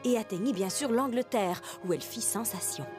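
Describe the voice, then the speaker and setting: An actress playing the storybook narrator of a cartoon, telling the tale in a measured, scripted way. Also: female voice, mid-range voice, natural voice. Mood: earnest storytelling. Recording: loud music behind the voice.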